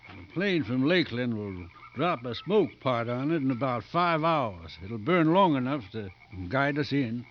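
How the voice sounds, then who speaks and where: An actor playing a steady, plain-spoken county sheriff in a 1950s radio drama. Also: male voice, mid-range voice, a little slow, few pauses. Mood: businesslike. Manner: plain-spoken, firm, reassuring. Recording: muffled, hissy 1950s radio recording.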